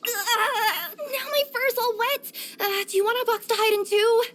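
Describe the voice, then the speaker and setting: A young cat-spirit courier girl from a video game. Her voice is extremely high and cutesy, eager and cheerful by temper. It swings up and down expressively as she talks. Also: moderate pace, heavily acted. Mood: dismayed. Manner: expressive, cutesy, with gasps and hesitant murmurs. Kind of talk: scripted video game character voice lines.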